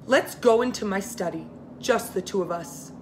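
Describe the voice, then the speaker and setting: A patient old grandfather from a children's storybook, read by a woman. He speaks gently and reassuringly, and the recording has noticeable background noise. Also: mid-range voice, normal speed, lightly acted.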